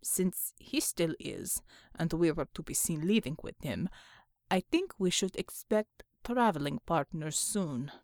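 A woman voicing a wary, dour male Russian soldier in an audiobook, speaking measured broken English with a Russian accent. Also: sits low, very animated, darkish tone, dry recording, studio quality, pitched below the performer's own voice, heavily acted. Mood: grim, wary.

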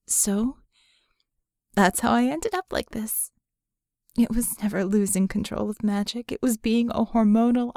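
A woman's voice: on the high side, creaky, a little mellow, no room sound, clean studio sound. A woman voicing a guarded, sardonic young female mage in an audiobook, telling her story with wry candor. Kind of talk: audiobook character dialogue.

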